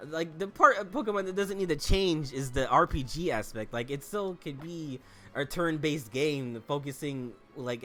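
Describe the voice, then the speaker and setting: A man giving joking gameplay commentary, animated and peppered with "like". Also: fairly high pitch. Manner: animated, joking, heavy use of "like". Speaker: let's play host.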